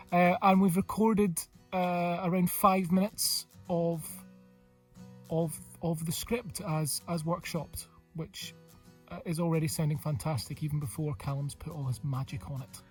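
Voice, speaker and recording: A man with a husky voice giving an informal interview from a home studio. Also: on the high side, steady tone, normal speed, recorded from a distance, soft background music. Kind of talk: informal behind-the-scenes interview.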